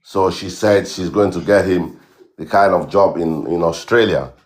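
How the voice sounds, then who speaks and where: Low-voiced man from Cameroon, halting. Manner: halting, repeats words, many fillers. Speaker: guest caller. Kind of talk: casual account on a livestream.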